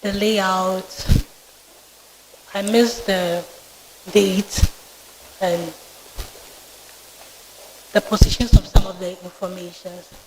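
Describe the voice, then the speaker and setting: A soft-spoken young woman in her twenties with a Ghanaian English accent, giving polite, formal answers as a student candidate in a vetting interview. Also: medium pitch, steady tone, very slow, pauses often, slight background noise, low-quality recording. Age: 20s. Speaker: student candidate being vetted. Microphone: microphone with feedback.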